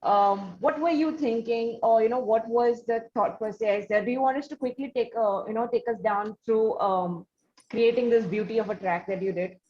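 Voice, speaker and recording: A woman's voice: fairly high pitch, moderate pace. A woman with an Indian accent hosting an interview, speaking in a wordy, effusive, hesitant way. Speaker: interview show host. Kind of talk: conversational interview. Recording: dull, lo-fi audio with background noise.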